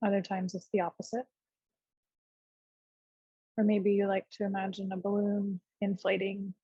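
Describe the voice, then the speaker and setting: A woman teaching yoga and guiding a meditation in a gentle, unhurried way, leaving pauses between short phrases. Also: medium pitch, slightly rough, no room sound, muffled recording. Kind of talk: guided meditation, unscripted class instruction.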